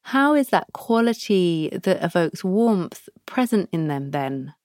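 A woman hosting a podcast, leading listeners through a guided meditation with soft prompts and long pauses. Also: fairly low pitch, very animated, dry recording, clean studio sound. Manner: slow, soft, pausing between prompts. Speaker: podcast host guiding a practice.

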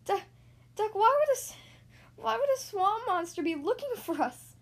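Storybook goose, young male, timid worrier with a stutter and high voice, played by a woman. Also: sing-song, normal speed, breathy edge, heavily acted. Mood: frightened. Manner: stuttering when frightened.

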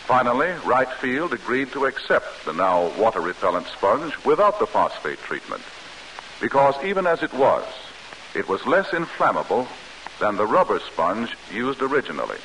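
Lively 1940s American radio announcer man. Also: mid-range voice, normal speed, poor audio. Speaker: commercial announcer. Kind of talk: 1940s radio sponsor message, scripted narration. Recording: hissy vintage 1940s radio recording.

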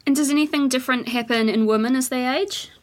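A woman interviewer asking crisp, measured questions. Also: sits high. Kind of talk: radio-style interview questions.

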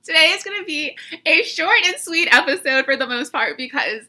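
A woman talks through a stuffy, allergy-clogged nose as she hosts her podcast. She is chatty and quick to laugh at herself, with a very bright, forward tone. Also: sits high, animated. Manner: chatty, laughs often, self-deprecating. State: congested from allergies. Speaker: podcast host. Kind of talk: casual chat.

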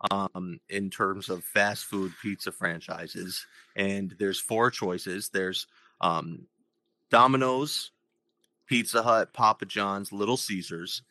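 A man in his twenties who hosts a podcast, with a deep radio voice, chatting casually and laughing often. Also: slow pace, sharp tone, no room sound. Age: 20s. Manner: joking, laughs often between phrases. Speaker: podcast host. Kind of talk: casual chat.

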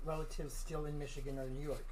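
A woman's voice: low voice, flat delivery, fast, darkish tone, a little hiss or hum. A woman hosting a casual chat, asking her guests questions in a friendly way. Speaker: host. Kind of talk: casual interview.

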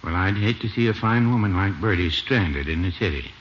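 An actor playing a mild, dry older small-town shopkeeper in a 1950s radio comedy, deadpan and gently contradicting. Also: male voice, deep voice, fairly quick. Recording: muffled, faintly noisy old radio recording.

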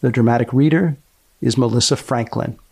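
Gravelly man hosting, dry close sound. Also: mid-range voice, a little fast, darkish tone, dry recording. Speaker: podcast host. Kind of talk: scripted narration introducing research.

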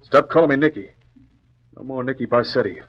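A man plays an edgy small-time crook in an old radio serial. The voice is husky and medium in pitch, full of gangster slang. The sound is muffled, like a vintage broadcast. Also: a little fast, lightly acted. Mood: panicked. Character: jittery, slangy male crook posing as an engineer. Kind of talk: 1940s radio drama acting.

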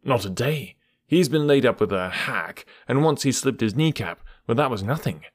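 A man's voice: medium pitch, expressive, normal speed, no echo, studio quality, lightly acted. A man voicing an earnest, eager young man speaking about his missing friend in an audiobook. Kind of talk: audiobook character voice.

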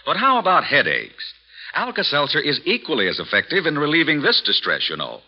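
A man's voice: on the high side, wildly swooping pitch, fairly quick. A man with an American accent reading a 1940s radio advertisement in an emphatic, salesmanlike way. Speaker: radio announcer. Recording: dull vintage radio recording.

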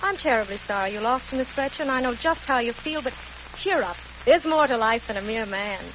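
An actress playing a cheerful receptionist on the phone in a 1940s radio promo, sing-song and upbeat. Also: female voice, a little high, expressive, fairly quick, a bit bright. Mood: chirpy. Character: chirpy woman receptionist on the phone. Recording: dull 1940s radio broadcast with hiss.

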